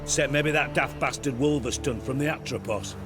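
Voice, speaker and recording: An actor playing a gruff, cynical male boat captain. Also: mid-range voice, a little fast, music in the background, lightly acted. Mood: curt. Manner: curt and dismissive.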